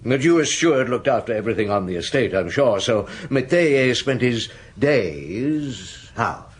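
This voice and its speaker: An actor playing a seasoned French chief inspector in a radio drama, musing and unhurried, with soft probing questions. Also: male voice, mid-range voice, expressive, natural voice. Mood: genial, probing.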